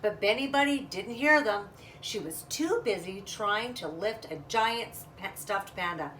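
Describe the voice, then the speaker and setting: A woman's voice: mid-range voice, animated, unhurried, a bit bright. A woman reading a children's book aloud expressively, adding casual asides as storyteller. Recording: roomy, distant mic, faint noise.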